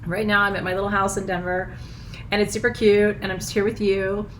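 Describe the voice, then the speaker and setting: Hoarse, bubbly woman. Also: medium pitch, level tone, a little fast, fairly bright tone, slight background noise, low-quality recording. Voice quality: froggy, husky. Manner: bubbly, effusive, laughs often. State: hoarse, nearly lost voice. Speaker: spiritual teacher hosting a live full moon ritual. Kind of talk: casual livestream chat. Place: small house, indoors.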